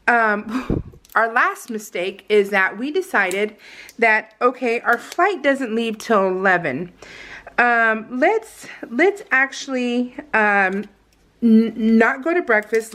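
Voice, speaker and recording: A woman's voice: a little high, fairly bright tone. A woman with an American accent chatting casually and unscripted as a vlogger, in a rambling, giggly, storytelling way. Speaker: vlogger hosting a scrapbooking process video.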